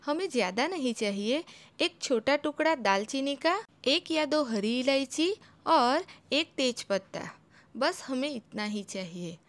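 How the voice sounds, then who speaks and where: A young woman talks a listener through a home recipe step by step, calm and instructive. Her voice sits a little high and stays steady and homely throughout. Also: measured pace. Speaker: home cooking channel host.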